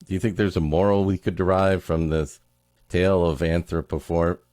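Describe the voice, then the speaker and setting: A man's voice: deep voice, level tone, moderate pace, darkish tone, no room sound. A man hosting a podcast, trading wry, joking, casual banter. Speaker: podcast host.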